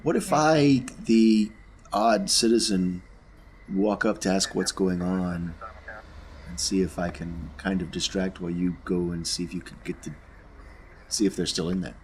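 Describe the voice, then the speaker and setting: A man's voice: sits low, fairly even intonation, measured pace, a little mellow, slight background noise, rough recording. A man playing a tabletop game, casually talking through and speaking his character's part. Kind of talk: casual in-game role-play chat.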